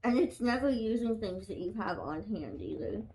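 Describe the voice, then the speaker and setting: A woman co-hosting a casual podcast who speaks quietly and softly, with dry humor. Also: medium pitch, animated, moderate pace, a little hiss or hum, rough recording. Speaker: podcast co-host.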